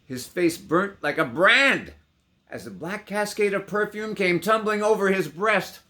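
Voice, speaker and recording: A man giving a theatrical, declamatory poetry recitation with sharp contrasts between hushed and loud delivery. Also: sits high, lively intonation, unhurried. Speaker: poetry reader.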